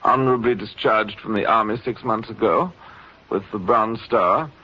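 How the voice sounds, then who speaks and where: A man's voice: on the low side, moderate pace, breathy edge. An actor playing a controlled, sinister male doctor, formal and precise, in a 1940s radio play. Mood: coolly menacing. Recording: muffled, noisy vintage radio recording.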